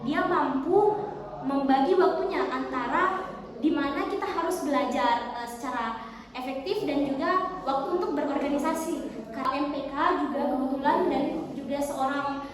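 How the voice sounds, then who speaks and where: A woman's voice: high-pitched, normal speed, a crowd in the background. A young woman from Indonesia giving explanatory answers as an interview guest. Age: teens or young adult. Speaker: podcast guest, student book co-author. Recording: very echoey, reverberant hall.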